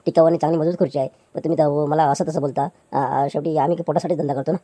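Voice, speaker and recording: A woman's voice: low voice, steady tone, fast talker, warm tone, slightly rough, dry recording, studio quality. A woman voicing a poor, meek male chair seller who pleads and placates with stammering restarts. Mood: placating.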